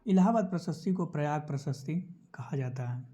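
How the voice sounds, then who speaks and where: Guy doing exam coaching, kinda high but soft mellow voice, repeats stuff to make it stick. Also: animated, fairly quick. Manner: repeats key points instructively, checks in with listeners. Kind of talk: explanatory lesson going through quiz questions.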